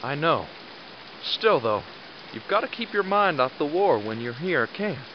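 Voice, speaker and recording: A man acts a middle-aged squad leader in an audio drama, blunt and commanding but fatherly. His fairly high male voice moves around with lively intonation. The sound is slightly muffled. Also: normal speed, a little hiss or hum. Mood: stern, exasperated.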